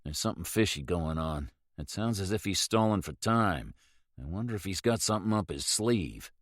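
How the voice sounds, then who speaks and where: A man's voice: mid-range voice, animated, moderate pace, a bit bright, no echo, lightly acted. Hard-bitten captain, clipped and dry, voiced by the male narrator. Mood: tense. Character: tough, tense male boat captain.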